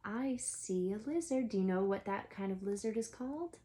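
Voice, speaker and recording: A woman teacher reading a storybook aloud to children, expressively, sometimes dropping into a hush for effect. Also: medium pitch, animated, normal speed, slight background noise.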